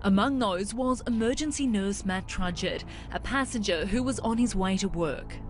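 A woman's voice: medium pitch, slight background noise. Dramatic female news voice-over. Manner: dramatic, measured voice-over delivery. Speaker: reporter. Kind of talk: scripted news narration. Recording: voice-over with music bed.

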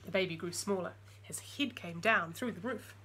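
A woman's voice: lowish voice, moderate pace, sharp tone, a little hiss or hum, low-quality recording. A woman in her forties reading a picture book aloud expressively, with chatty asides to young listeners. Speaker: storytime reader. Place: small room at home with bookshelves.

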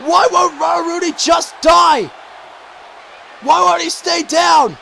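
A man calling a video game wrestling match play-by-play, shouting excitedly and going hoarse. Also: slow pace, some chatter behind, slight background noise. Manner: shouting, high-pitched and strained, losing his voice. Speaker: commentator.

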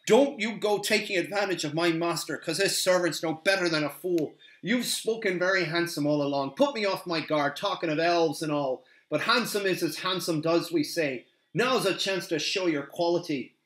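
Loyal humble hobbit servant, eager and rustic, pitched up, voiced by a man, roomy echo. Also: normal speed, a bit bright, lightly acted. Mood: flustered. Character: humble cheerful male hobbit gardener, loyal.

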